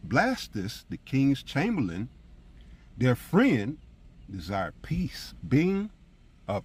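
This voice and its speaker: A man with a husky voice teaching scripture as a preacher, in an emphatic, colloquial style full of rhetorical check-ins. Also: medium pitch. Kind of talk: fiery informal Bible teaching with commentary on scripture readings.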